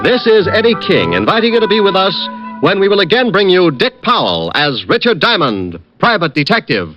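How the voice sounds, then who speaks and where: Formal 1950s radio announcer, male, animated and high, over music on dull vintage audio. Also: a little fast. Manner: polished formal delivery.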